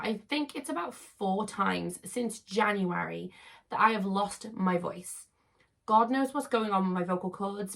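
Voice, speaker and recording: A woman in her thirties, hoarse and croaky from losing her voice, chatting upbeat to camera as a YouTuber. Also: mid-range voice. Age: 30s. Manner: chatty, upbeat, repeats words for emphasis. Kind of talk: casual chat to camera.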